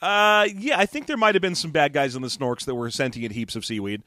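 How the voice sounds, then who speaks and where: A man co-hosting a podcast, chatting casually about a book, joking and laughing often and dropping into quick impressions. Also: a little high, lively intonation, bright, forceful tone, no echo, clean studio sound. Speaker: podcast co-host. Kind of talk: casual chat discussing a book.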